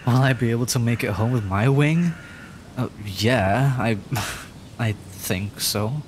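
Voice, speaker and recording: Casting: male actor as timid young male dragon for ASMR audio drama, slow and halting, rambling apologetically, nature noise behind him. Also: medium pitch, natural voice. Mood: hushed, worried. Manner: stammering, hesitant, rambling, apologetic. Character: nervous, adorkable young male dragon, injured and frightened.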